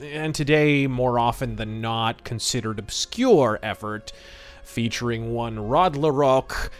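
A man hosting a podcast, giving commentary in an emphatic, theatrical manner with florid wording. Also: mid-range voice. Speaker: podcast host. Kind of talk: podcast commentary, semi-scripted talk.

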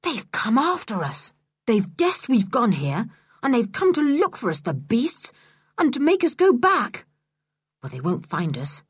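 Woman reading as a bold excited schoolboy, exclaiming, lively. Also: a little high, a little slow, slightly rough, dry recording, clean studio sound, voice pushed up, exaggerated voice. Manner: breathless, exclaiming.

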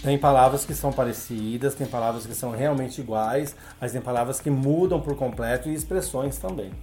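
A middle-aged man from Brazil, a guest on a podcast, telling his story in a relaxed way with many filler tags. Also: mid-range voice, fairly quick, faint music behind. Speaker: guest, English teacher. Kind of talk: casual podcast interview.

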